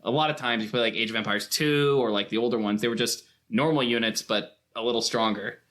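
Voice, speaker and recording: A man's voice: medium pitch, fast, a bit bright. A man talking casually in a film discussion, retelling things informally with mock quotes. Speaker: film podcast speaker.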